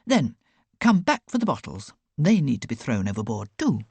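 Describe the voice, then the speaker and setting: A woman voicing a stern, no-nonsense aunt in an audiobook, crisp and commanding in manner. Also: a bass voice, wildly swooping pitch, normal speed, darkish tone, no room sound, full character voice. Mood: brisk. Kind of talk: audiobook character voice. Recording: dry close recording.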